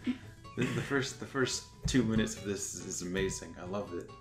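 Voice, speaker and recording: A man's voice: fairly low pitch, moderate pace. A man co-hosting in casual banter, teasing his partner with dry, mock-solemn asides. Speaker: co-host. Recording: roomy echo, music behind. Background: music.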